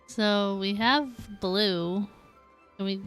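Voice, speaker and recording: A woman's voice: mid-range voice, drawn-out words, fairly bright tone, clean tone. Female live streamer, casual gaming chat, mid-range voice with background music. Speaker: live streamer playing a game.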